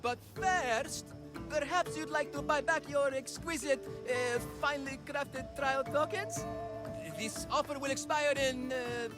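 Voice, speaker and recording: An actor playing a nervous, theatrical swindler in a video game, stammering through hesitant sales patter. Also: male voice, very high voice, measured pace. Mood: showy, nervous. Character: nervous, theatrical swindling huckster, a frightened father. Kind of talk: video game character acting. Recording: music behind the voice.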